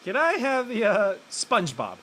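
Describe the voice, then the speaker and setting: A man acts out an earnest, awkward teenage boy in a conversational audio drama. The delivery is dry and a bit flustered, with lots of pitch movement and noticeable noise in the recording. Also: wildly swooping pitch, moderate pace, a bit bright, exaggerated voice. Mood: casual, friendly. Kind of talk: conversational acting in an online audio play.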